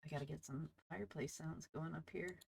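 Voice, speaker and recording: A woman's voice: sits low, fairly even intonation, brisk, creaky, darkish tone, breathy edge, no echo. A woman hosting a casual livestream, chatting to viewers in a bubbly, enthusiastic manner. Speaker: livestream host, VTuber; teacher.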